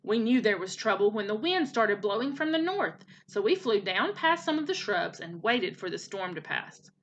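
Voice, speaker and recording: A woman voicing an excited young male gosling who eagerly boasts to his mother in a children's storybook. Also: mid-range voice, moderate pace, highs cut off, slight background noise, close to an everyday voice. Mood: excited, proud.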